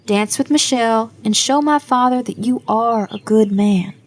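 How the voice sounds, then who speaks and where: A woman playing a level-headed, guarded young adult daughter in an audio drama, measured and dry in manner. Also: fairly high pitch, close to an everyday voice. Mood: dry, firm.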